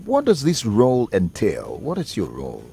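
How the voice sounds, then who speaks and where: Male co-host, deep and husky, enthusiastic and expressive. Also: moderate pace. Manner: enthusiastic interjections. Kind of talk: casual interview chat.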